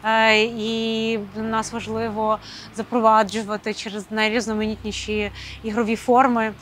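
A woman's voice: fairly high pitch, little pitch movement, moderate pace, fairly bright tone, slight background noise. A woman, an arts program director, answering thoughtfully with frequent hesitations. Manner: thoughtful, hesitant, many filler sounds. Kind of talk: interview answers. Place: rooftop outdoors, then indoor hall.

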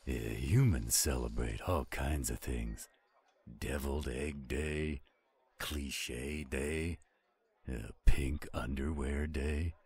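Man as a husky, rumbling laid-back werewolf. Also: slow pace, darkish tone, no room sound, exaggerated voice. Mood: calm, reassuring. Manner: drawling, teasing, easygoing. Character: laid-back, teasing male werewolf friend. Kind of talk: audio roleplay acting.

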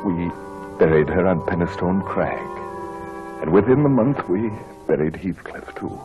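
An actor playing a grave, mournful storyteller, narrating a 1940s radio drama in a solemn, measured way. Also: male voice, low-pitched, lively intonation, phone-quality sound. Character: grave, mournful male storyteller recalling tragedy. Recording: vintage radio broadcast with music.

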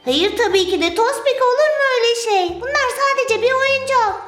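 A performer voicing a patient, sensible older girl in a children's toy skit, gently chiding and indulgent. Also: very high-pitched, lively intonation, a little fast. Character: patient, sensible older girl looking after little ones. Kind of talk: children's toy play skit, acted dialogue. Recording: roomy echo with music behind.

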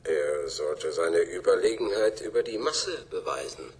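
A man's voice: low-pitched, animated, a little slow. A man playing a fanatical, proud cult leader in a German radio drama, speaking in a brooding, theatrical way that drops to whispers. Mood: brooding, menacing. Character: fanatical, menacing cult leader recalling bloody deeds, contemptuous and proud. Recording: clearly noisy tape-like recording.

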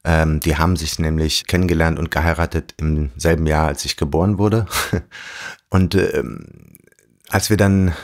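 Very deep-voiced man telling his story. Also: steady tone. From Germany. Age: 40s. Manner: thoughtful, with frequent fillers and pauses. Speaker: guest. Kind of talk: reflective storytelling.

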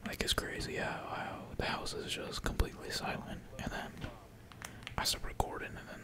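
Whispering man, casual gaming ASMR. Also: normal speed. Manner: slangy, swearing, casual. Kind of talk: whispered ASMR gameplay commentary. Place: indoors at home, at a computer. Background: keyboard typing.

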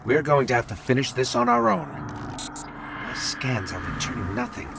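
A man's voice: medium pitch, huge pitch swings, a little slow, gravelly, noisy background, full character voice. A man voicing a small robot companion in a video game, earnest, anxious and careful. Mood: focused.

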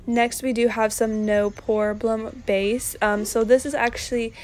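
A young woman narrating a casual shopping haul, chatty and relaxed, with lots of ums and likes. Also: on the high side, measured pace. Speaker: vlogger.

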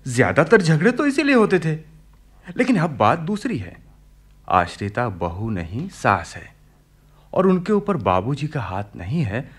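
An actor playing a practical, persuasive younger brother in a family radio play, reasoning and coaxing. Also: male voice, medium pitch, wildly swooping pitch, a little slow, breathy edge, heavily acted. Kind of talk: Hindi radio play acting.

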